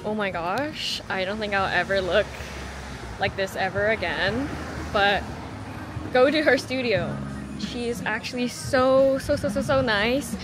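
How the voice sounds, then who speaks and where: A woman's voice: medium pitch, measured pace, low-quality recording. An eighteen-year-old woman chatting casually to a handheld camera, gushing informally and repeating words for emphasis. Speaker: vlogger. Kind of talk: casual vlog chat to camera. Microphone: handheld camera at arm's length. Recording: loud music behind the voice. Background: music.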